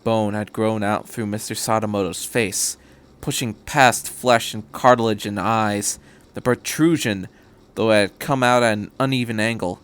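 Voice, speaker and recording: A man's voice: lowish voice, fairly bright tone, smooth. A man reading a story aloud as a podcast host, in scripted narration. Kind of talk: scripted narration of fan fiction.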